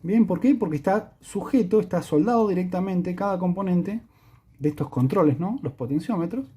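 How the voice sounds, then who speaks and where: An Argentine man gives a hands-on tutorial in a husky, mellow voice. He explains things practically and keeps checking that the listener follows. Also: high-pitched, lively intonation, moderate pace. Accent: Argentine Spanish. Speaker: electronics tutorial presenter.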